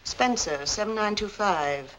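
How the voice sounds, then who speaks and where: An imperious, scheming lady of means from a 1940s film. She speaks in clipped commands with animated pitch. A little noise runs under the voice. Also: unhurried. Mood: impatient, bossy. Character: imperious, scheming well-to-do married woman, curt with servants. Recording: noisy 1940s film soundtrack.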